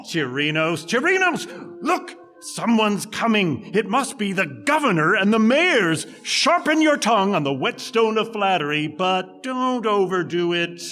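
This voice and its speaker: An actor playing a flattering, grandiose con-man showman with florid, oily salesmanship in a stage-style reading of a classical comic play. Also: high-pitched, a little slow, long reverb tail, faint music behind, heavily acted. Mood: sly, urgent.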